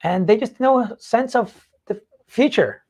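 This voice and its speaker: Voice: medium pitch, expressive, measured pace, a little mellow, husky. Blunt, casually swearing live show co-host. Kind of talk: casual opinionated chat.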